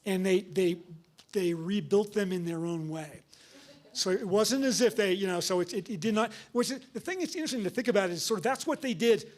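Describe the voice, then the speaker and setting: High-voiced man fielding lecture questions. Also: a little fast, darkish tone. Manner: hesitant, many fillers and restarts. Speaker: guest lecturer and author. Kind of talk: public lecture and Q&A.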